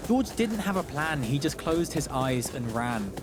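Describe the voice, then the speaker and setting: A man narrating a rhyming radio story in a theatrical, measured verse delivery. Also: on the high side, sing-song, background noise.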